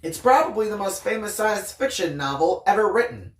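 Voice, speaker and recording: Earnest, bookish boy storyteller, read by a woman; expressive audiobook delivery, echoey room. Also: mid-range voice, brisk, noisy background, close to an everyday voice. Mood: reflective, wistful. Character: Daniel, earnest bookish boy narrator, secretly alien hunter. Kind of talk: first-person audiobook storytelling.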